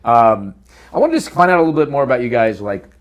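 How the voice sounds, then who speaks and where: A man's voice: mid-range voice, expressive, fast. A man hosting a casual panel chat in a jokey, conversational way, acting out bits as he goes. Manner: jokey, acts out quoted lines. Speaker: talk show host.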